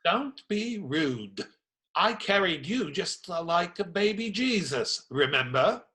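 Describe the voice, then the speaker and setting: A man's voice: high voice, big pitch swings, takes it slowly, sharp tone, heavily acted. A man acting in a radio play as a stout, strong friar who is indignant, pious and sarcastically preachy. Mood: mocking, preachy. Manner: theatrical, sermonizing sarcasm.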